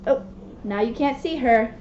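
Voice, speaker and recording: Woman, 30s, children's read-aloud presenter; unhurried, higher voice, simple explanations, faintly noisy recording. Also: darkish tone. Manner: simple child-directed explaining with frequent check-in questions. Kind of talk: reading a picture book aloud and chatting to a small child. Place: small classroom, indoors. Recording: muffled, echoey webcam audio with noise.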